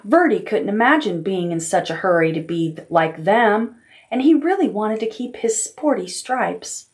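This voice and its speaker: A woman's voice: mid-range voice, lively intonation, moderate pace. Woman reading a children's story expressively. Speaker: library storytime reader.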